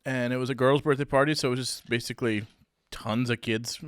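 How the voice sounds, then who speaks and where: A man's voice: medium pitch, fairly even intonation, quick pace, no room sound. Chatty man with a dry close sound. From New Hampshire, USA. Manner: chatty storyteller, laughs often. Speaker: podcast co-host. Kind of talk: casual chat.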